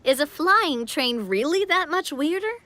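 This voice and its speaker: A woman's voice: high-pitched, animated, a little slow, heavy background noise. A woman voicing a cheerful, friendly young storybook king in a game. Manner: upbeat, friendly, reassuring. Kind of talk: game voice acting.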